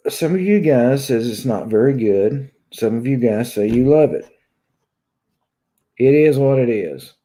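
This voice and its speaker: Dark-voiced man with a Kentucky drawl. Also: mid-range voice, expressive, measured pace. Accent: Southern US drawl, Kentucky. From Kentucky, United States. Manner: drawling, conversational. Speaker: YouTube vlogger. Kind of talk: casual chat to viewers, venting. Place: small room at home, indoors.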